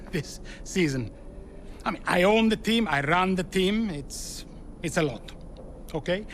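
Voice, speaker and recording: A man's voice: a little high, a bit bright, a little hiss or hum. A man playing a self-assured racing team owner, giving a formal, commanding speech to staff.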